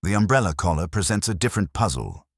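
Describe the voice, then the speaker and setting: A mild, courteous police inspector from a mystery story, voiced by a man. The voice is very deep yet lively in pitch, formal and conversational with quiet irony, heard dry and close. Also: fast, breathy edge, no echo, full character voice. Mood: quietly ironic. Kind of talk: storybook mystery character dialogue.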